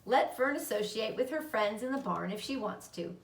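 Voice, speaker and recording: A woman's voice: a little high, lively intonation, moderate pace, creaky. Woman as an unworried old country doctor, echoey. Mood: pondering. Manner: musing, reassuring, philosophical. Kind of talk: children's book read-aloud. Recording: roomy echo, distant microphone.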